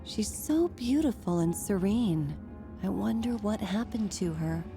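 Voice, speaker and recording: A woman playing a caring, determined mother and curator in a video game, measured and thoughtful. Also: mid-range voice, expressive, music in the background. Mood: wondering, gentle. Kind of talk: video game cutscene acting.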